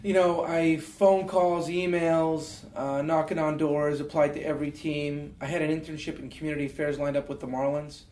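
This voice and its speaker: A man's voice: a little high, flat delivery, moderate pace, hardly any pauses, a little mellow, slight background noise. A Canadian man in his forties, an interview guest telling personal stories in an earnest, reflective way, with many fillers and restarts. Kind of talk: reflective storytelling. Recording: roomy echo.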